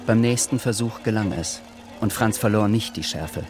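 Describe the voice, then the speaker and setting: Low-voiced male narrator over music. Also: moderate pace. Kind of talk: scripted literary radio drama narration.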